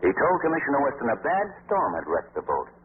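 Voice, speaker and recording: An actor playing a self-assured amateur sleuth, speaking in a suave, measured, wry 1940s radio style. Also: male voice, mid-range voice, huge pitch swings. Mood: assured, explaining. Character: self-assured adult male amateur sleuth, calmly explaining. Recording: crackly, narrow-band 1940s radio recording.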